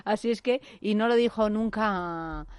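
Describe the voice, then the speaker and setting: A woman's voice: medium pitch, measured pace, clean tone, dry recording. Female radio host, jokey and chatty, mid-range voice in a dry studio. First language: Spanish. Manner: chatty, jokey, self-mocking. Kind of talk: playful casual radio banter and news reading.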